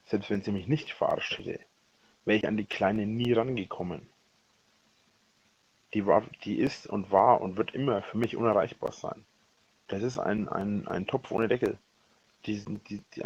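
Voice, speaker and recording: A man speaking a rambling personal voicemail, halting and often correcting himself, with a husky voice. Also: fairly low pitch. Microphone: voice message recorded on a phone. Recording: dull lo-fi leaked voicemail recording.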